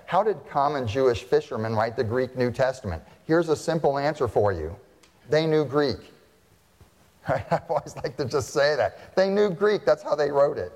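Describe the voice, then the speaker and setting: An American pastor preaching a sermon, talking to the congregation in a conversational way and asking them frequent questions. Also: male voice, medium pitch, lively intonation, moderate pace, darkish tone, in a large hall. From United States. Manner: conversational, asks the audience questions.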